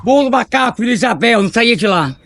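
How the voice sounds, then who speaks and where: A man's voice: very high-pitched, lively intonation, fast talker, fairly bright tone, no echo. An older man from Bahia, guiding a walking tour outdoors with theatrical, joking storytelling that sometimes stumbles over words. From Bahia, Brazil. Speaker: local tour guide.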